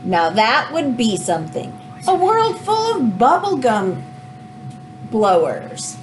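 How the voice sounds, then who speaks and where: A woman reads a children's book aloud in an expressive, teacherly way, adding explanatory asides as she goes. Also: fairly high pitch, slow pace, slight background noise.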